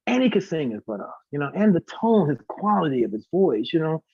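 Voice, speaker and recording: An American man, an interview guest and singer, telling casual anecdotes in an animated way and acting out the dialogue. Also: on the high side, a little fast, breathy edge. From St. Louis, United States. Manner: animated, acts out quoted dialogue. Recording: echoey, dull lo-fi audio.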